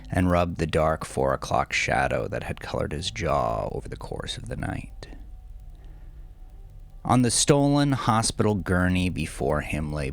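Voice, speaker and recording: Deep, gravelly, slow-reading male storyteller. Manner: brooding, deliberate, measured dramatic delivery. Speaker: novelist reading own novel, podcast host. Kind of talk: dramatic scripted audiobook narration. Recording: faint music bed under voice. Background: faint music.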